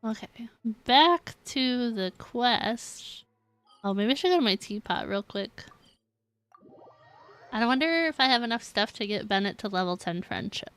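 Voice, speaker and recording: A woman's voice: on the high side, animated, unhurried, a bit bright, smooth, soft background music. A woman chatting casually and playfully on a gaming livestream, giggly and given to exclamations. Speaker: game live streamer.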